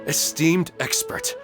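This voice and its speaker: A man playing an earnest, slow-spoken male townsperson in a video game. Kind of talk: English video game voice acting.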